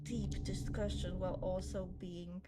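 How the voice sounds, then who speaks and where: A woman's voice: on the low side, flat delivery, unhurried, heavy background noise. A young woman hosting a gaming video, chatting casually and rambling with lots of fillers while she plays. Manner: chatty, rambling, self-deprecating, many fillers. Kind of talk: casual commentary while playing. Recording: loud game music behind voice.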